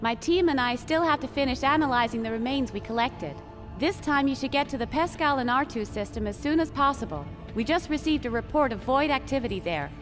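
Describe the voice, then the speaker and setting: A woman's voice: sits high, animated, brisk, music in the background. A woman playing a formal, determined head scientist in a video game. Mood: composed, businesslike. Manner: formal, composed, businesslike. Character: poised woman scientist heading a research lab, formal, determined. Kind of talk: scripted video game voice acting.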